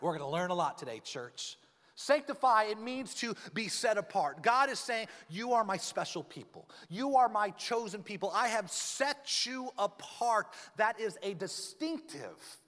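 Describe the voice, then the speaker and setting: A man's voice: sits high, measured pace. A man preaching a sermon as pastor, joking and acting out stories with quoted voices. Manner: lively, joking storyteller, acts out quoted voices. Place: church sanctuary. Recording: long reverb of a church hall.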